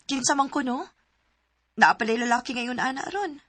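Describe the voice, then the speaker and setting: A woman's voice: high voice, expressive, brisk, heavy background noise. An actress playing a hesitant, heartbroken young woman in a radio drama, with a Cebuano Filipino accent. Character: heartbroken young woman telling her love story, pleading, hesitant.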